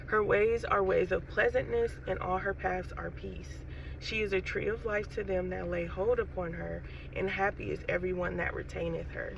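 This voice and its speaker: A woman with a scratchy throat and a stuffy nose gives an unhurried, casual spiritual message to her viewers, often saying "you know" and "um". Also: medium pitch, steady tone, creaky. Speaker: faith vlogger. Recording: dull, distant lo-fi recording with faint noise.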